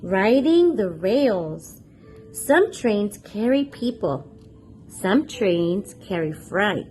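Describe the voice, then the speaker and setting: Animated woman reading to children, unhurried. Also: medium pitch, faint music behind. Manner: clearly paced, expressive, addresses young listeners with questions. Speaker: children's read-aloud storyteller and channel host. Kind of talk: children's picture book read-aloud.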